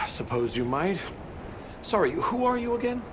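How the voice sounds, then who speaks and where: A man's voice: high-pitched, sing-song, a little slow, background noise. A man playing an indifferent, absent-minded expedition member in a video game, offhand and laconic. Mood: distracted, dismissive. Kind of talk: video game cutscene acting.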